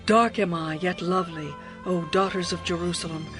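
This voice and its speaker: An actress playing a young, lovestruck bride, yearning and adoring, in a tender, poetic dramatized scripture reading. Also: female voice, fairly low pitch, normal speed, music in the background, natural voice. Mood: earnest, pleading. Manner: expressive, poetic, tender delivery.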